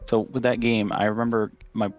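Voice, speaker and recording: A man's voice: fairly low pitch, little pitch movement, brisk, lo-fi sound, soft background music. A man from Chile, a podcast guest, telling rambling stories in casual chat with lots of fillers and restarts.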